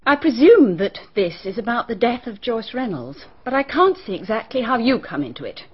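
Nervy, prim schoolteacher; husky, lively pitch, clipped and guarded; muffled old radio sound. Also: female voice, moderate pace. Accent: British English. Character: prim, nervous woman schoolteacher witness. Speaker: teacher questioned by a detective. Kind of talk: BBC radio drama acting. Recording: dull, muffled archive radio broadcast.

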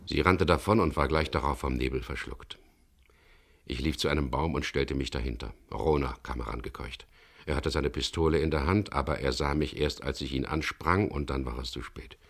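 Deep, gravelly tough skipper. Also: male voice, exaggerated voice. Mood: grim, recounting. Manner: terse, commanding in dialogue, measured in narration. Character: tough, decisive boat skipper telling his crime story. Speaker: lead actor and first-person narrator of a radio play. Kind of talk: 1970s German radio crime drama.

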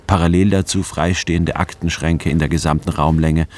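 A male actor playing a reflective, wry anthropologist who narrates a German radio drama in a measured, precise way. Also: low-pitched, fairly even intonation, slight background noise, natural voice.

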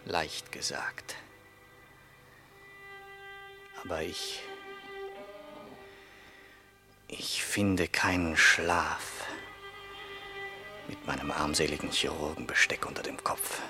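An actor playing a poor, brooding young surgeon in a German radio play, speaking in a hushed, halting way as he muses to himself. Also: extremely low pitch. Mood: brooding, bitter.

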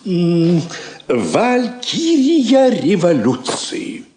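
A man's voice: high voice, huge pitch swings, extremely slow, a little mellow, exaggerated voice. An actor playing a deferential, formal courtier reporting to his king in a Soviet radio play. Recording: roomy old recording, faint noise.

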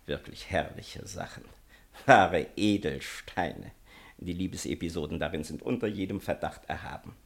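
Deep-voiced, pompous, indignant man of letters. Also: normal speed, gravelly. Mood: indignant, sneering. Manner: pompous, sneering, grandiloquent. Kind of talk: theatrical radio drama acting.

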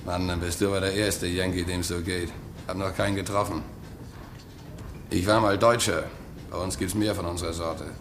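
An actor playing a weary, disillusioned ship's trimmer in a German radio play, terse and resigned. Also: male voice, deep voice, level tone, normal speed, a bit bright. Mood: weary, matter-of-fact. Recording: noisy radio play recording with ambience.

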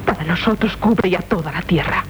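A woman's voice: fairly low pitch, moderate pace, lightly acted. An actress playing a proud, grieving young noblewoman who demands justice, solemn and declamatory in a 1970s Spanish radio drama. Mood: bitter reproach. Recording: muffled, noisy 1970s radio tape.

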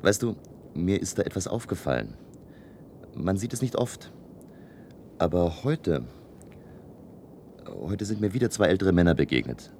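An actor playing an indignant, baffled male space crewman in a German radio play, halting and emphatic. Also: sits low, very animated, vocal fry, darkish tone, slight background noise, heavily acted. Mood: hesitant, secretive. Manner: halting, repetitive, emphatic outbursts.

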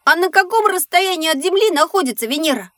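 A woman's voice: very high voice, expressive, quick pace, fairly bright tone, no echo, clean studio sound. A woman voicing a curious, easily amazed young pupil with an eager, wondering manner in an educational audio drama.

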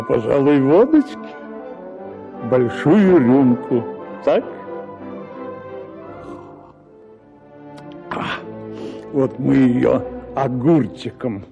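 An actor playing a smug middle-aged husband, patronizing and condescending, in a radio play. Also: male voice, medium pitch, creaky, heavily acted. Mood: lecturing. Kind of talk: radio drama acting. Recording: narrow phone-like radio audio with music.

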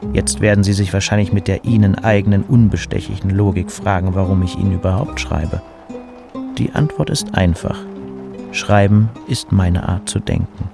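A low, creaky, wry burnt-out poet. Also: male voice. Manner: dry, stilted, wordy formal phrasing. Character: burnt-out male city poet, wry and neurotic. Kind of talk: audio drama dialogue and letter narration.

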